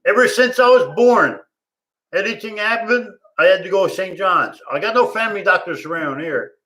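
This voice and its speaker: A man, rambling loudly and cursing in a casual vlog monologue, repeating himself. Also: high voice, lively intonation, normal speed, low-quality recording. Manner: loud, profane, laughs often, repeats himself. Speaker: vlogger. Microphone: tablet recording.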